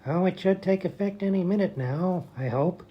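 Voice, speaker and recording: A woman playing a brusque, matter-of-fact hospital doctor with deadpan delivery in a radio comedy. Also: lowish voice, moderate pace, breathy edge, lo-fi sound.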